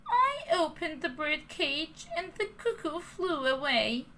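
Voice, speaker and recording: A woman voicing a naughty young wooden puppet boy, pitching her voice high and pleading. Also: sing-song, slow, sharp tone, slight background noise, exaggerated voice, low-quality recording.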